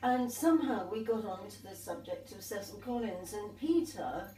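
Older woman reminiscing, mellow voice. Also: mid-range voice, lively intonation, normal speed. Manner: rambling, warm reminiscing with restarts. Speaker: artist and guest. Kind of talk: conversational storytelling. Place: sunlit glass conservatory at a tea table. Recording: echoey room, distant mic, faint hiss.

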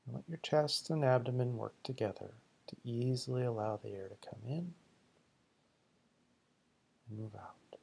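A man leading a guided meditation in slow, deliberate, soothing short phrases, with a husky, gravelly voice. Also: medium pitch, darkish tone.

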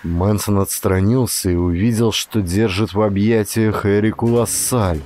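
A man's voice: fairly low pitch, steady tone, measured pace, darkish tone, dry recording. A man reading a thriller audiobook as a dramatic, scripted narration. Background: background music.

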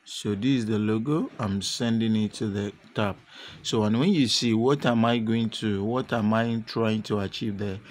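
A man narrating a step-by-step software tutorial unscripted, with halting, repetitive instructional phrasing. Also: on the low side. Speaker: tutorial presenter.

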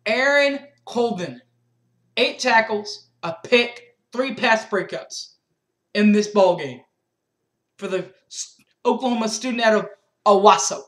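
Slow-talking young man reading game stats. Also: sits high, fairly bright tone. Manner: methodical stat-reading with restarts. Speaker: sports fan reviewer. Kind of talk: postgame recap. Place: small bedroom indoors. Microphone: webcam at desk.

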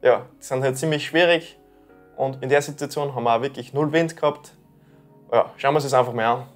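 A young Austrian man speaks in a relaxed, easygoing way at an average pitch as he comments on clips. Soft music plays faintly in the background. The recording comes from a small indoor room. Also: a little fast. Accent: Austrian German. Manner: relaxed, dialect-tinged, talks through clips. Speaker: paragliding YouTuber commenting clips. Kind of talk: casual commentary.

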